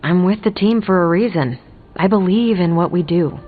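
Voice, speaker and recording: Game superhero, female actor: loyal, plain-spoken woman, somewhat low voice, phone-like sound with music behind. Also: moderate pace, natural voice. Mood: assured, fond. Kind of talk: scripted video game dialogue.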